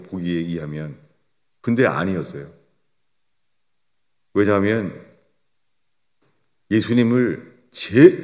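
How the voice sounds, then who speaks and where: Older male pastor, lowish voice, animated sermon delivery, loud bursts then hushed drops, church hall reverb. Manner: swings between raised emphatic lines and low quiet drops. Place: church sanctuary.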